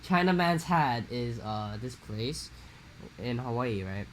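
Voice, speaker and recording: Casting: young man, hoarse and losing his voice, rambling and profane, typical pitch, light background hiss. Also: expressive, slow pace. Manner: profane, rambling, slangy with frequent "bro". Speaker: podcast co-host. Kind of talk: casual chat.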